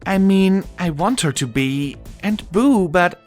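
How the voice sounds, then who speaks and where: Man putting on a high, cheeky young girl pony. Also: takes it slowly, soft background music. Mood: worried. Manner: pleading, sly, expressive. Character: cheeky, sulky young female pony, daughter.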